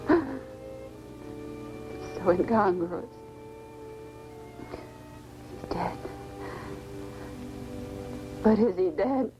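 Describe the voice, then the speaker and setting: An actress playing a grieving, overwhelmed young woman in a 1950s science-fiction film, halting and emotional. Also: mid-range voice, low-quality recording. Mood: grieving, distraught. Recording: 1950s film soundtrack under loud music.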